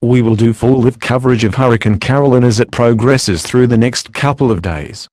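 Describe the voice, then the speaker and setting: A composed male news anchor played by a man delivering scripted storm coverage. His pitch is average and his manner formal and matter-of-fact, recorded dry with hardly any room. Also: a little fast, darkish tone, no echo, lightly acted. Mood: serious, composed.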